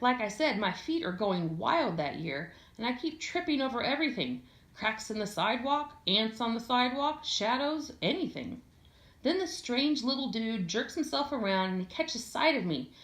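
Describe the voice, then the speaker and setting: A woman reading a first-person story aloud in an expressive, conversational way with a sardonic, slangy delivery. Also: on the high side. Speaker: audiobook reader. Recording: echoey room.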